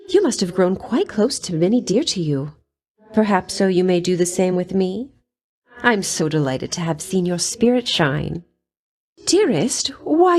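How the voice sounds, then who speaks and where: A vain, flirtatious game goddess of love, purring and coy, with a lively sing-song lilt. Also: female voice, mid-range voice. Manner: coy, teasing, sultry, with sweet-voiced threats. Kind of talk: video game voice lines.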